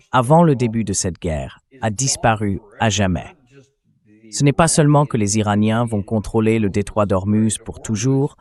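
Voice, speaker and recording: A man's voice: on the low side, a little mellow. A man hosting a political interview in a dubbed translation, building up long, discursive questions. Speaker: interview host.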